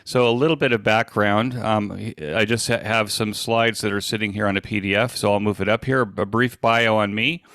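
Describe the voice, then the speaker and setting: A man in his sixties with an American accent gives an informal online presentation in a halting, conversational, self-deprecating way. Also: on the low side, fairly even intonation, fairly bright tone, no room sound, studio quality. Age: 60s. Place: indoors at a desk, on a video call.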